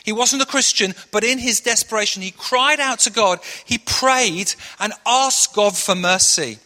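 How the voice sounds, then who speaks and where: A middle-aged man from Manchester preaching to his church in a high, forceful voice with a sharp edge. He tells stories earnestly and eases into quiet prayer. The sound rings with a long reverb off a big hall. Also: measured pace. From Manchester, England. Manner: earnest storytelling preacher, shifts into hushed prayer. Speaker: pastor. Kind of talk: sermon.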